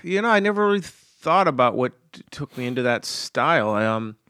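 Hesitant male musician with a clear voice. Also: medium pitch, sing-song, sharp tone, no echo, studio quality. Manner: hesitant, halting, full of false starts. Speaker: musician guest. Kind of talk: casual interview chat.

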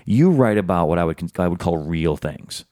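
A male podcast host asking rambling, animated questions packed with "like"s in a casual interview. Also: low-pitched, brisk, no echo, clean studio sound.

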